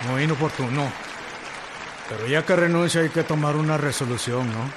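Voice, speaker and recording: An actor playing a blunt revolutionary general in a radio drama, speaking matter-of-factly. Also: male voice, a little high, moderate pace, crowd noise, background noise, natural voice. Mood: pragmatic.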